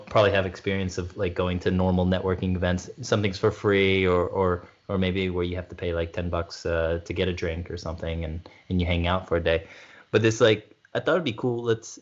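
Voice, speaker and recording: A man with a low voice talks loosely and casually, circling his point with lots of "like"s and restarts. The audio is a bit dull. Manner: rambling, many "like"s and restarts. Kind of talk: casual conversation.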